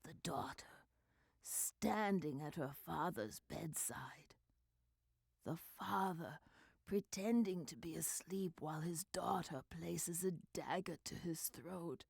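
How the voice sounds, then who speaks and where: A woman playing a mystical sea spirit who recounts a tragic tale theatrically in an amateur game fan dub, drawing out words between breathy whispers and loud swells. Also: on the low side, slow, dry recording, full character voice. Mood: hushed, sorrowful.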